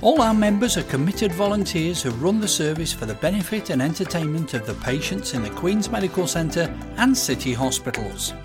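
A male announcer reading a scripted charity appeal in an earnest, clear way. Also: a little high, animated, fairly quick, few pauses. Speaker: station announcer. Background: music bed.

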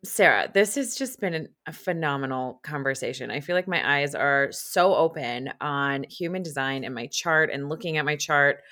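A woman's voice: sits low, normal speed, hardly any pauses, pushed and bright. A woman hosting a podcast interview, gushing and upbeat, then reading her sign-off. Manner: gushing, upbeat, says "so good" often. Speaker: podcast host.

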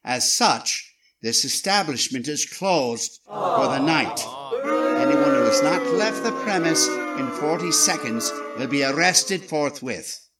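An actor playing a tough, commanding 1920s Chicago boss in a period radio drama, speaking forcefully. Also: male voice, fairly high pitch, animated, slow pace, poor audio.

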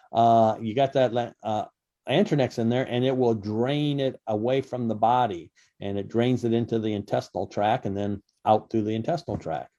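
A man's voice: mid-range voice, dark tone. A man co-hosting a casual radio health talk show, rambling with lots of fillers and restarts. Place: radio studio.

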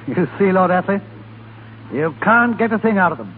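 An actor playing a brisk, officious army colonel, clipped and authoritative, in 1930s radio drama. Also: male voice, sits high, very animated. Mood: suspicious, brisk. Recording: tinny, very noisy 1930s radio.

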